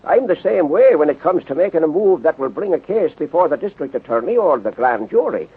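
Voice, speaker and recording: Cop sergeant, very husky, swooping delivery, plain-spoken, old narrow-band radio recording. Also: male voice, a little high, big pitch swings, a little fast, full character voice. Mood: blunt, animated. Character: plain-spoken, excitable veteran police sergeant. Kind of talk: 1930s radio drama acting.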